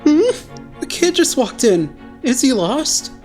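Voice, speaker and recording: A woman's voice: high voice, very animated, slow pace, darkish tone, soft background music, exaggerated voice. A woman acting an earnest, naive and blunt teenage boy idol in an anime-style dub. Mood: curious. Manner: straightforward, earnest.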